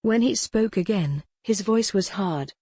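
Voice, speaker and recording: Woman, audiobook narrator, warm dark tone, scripted dramatic reading, dry studio-like sound. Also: medium pitch, expressive, measured pace, breathy edge, no echo.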